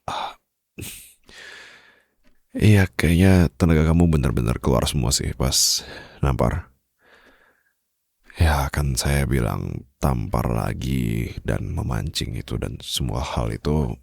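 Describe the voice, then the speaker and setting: Casting: male actor as tender mafia boss lover, Indonesian accent, extremely low husky register, unhurried hushed delivery for intimate ASMR roleplay. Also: no echo, studio quality, heavily acted. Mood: teasing, sore. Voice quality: deep, gravelly, husky. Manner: slow, soft, hushed, pausing, gently reassuring. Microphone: close studio condenser microphone.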